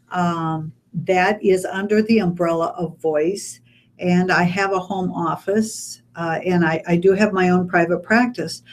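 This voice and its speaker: Woman, Midwestern American accent, slightly low voice, measured and informative, radio studio guest. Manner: measured, informative, explains step by step. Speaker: speech pathologist guest. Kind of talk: radio interview.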